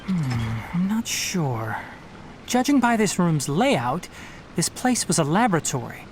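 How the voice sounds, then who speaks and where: A man's voice: fairly high pitch, big pitch swings, unhurried, slight background noise. A man voicing a composed, analytical young male forest ranger and scholar in a video game. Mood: calm. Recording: game audio with faint music.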